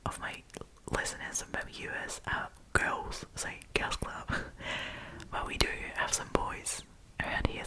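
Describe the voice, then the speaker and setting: A woman whispering in a casual, chatty, giggly ASMR storytime style, as host reading and commenting. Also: rough recording.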